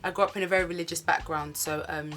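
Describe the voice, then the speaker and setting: A woman's voice: on the low side, steady tone, fast, a bit bright. A young woman from Nigeria, nervous and hesitant, telling her life story to camera with many ums and restarts. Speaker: vlogger sharing a testimony. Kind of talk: casual personal storytelling to camera.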